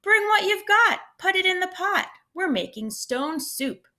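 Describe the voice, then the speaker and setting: A woman's voice: extremely high pitch, big pitch swings, unhurried, bright, forceful tone, pitched above the performer's own voice, full character voice. A woman voicing a clever, cheerful male traveler who grandly proclaims his magic soup. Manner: grand, theatrical announcing.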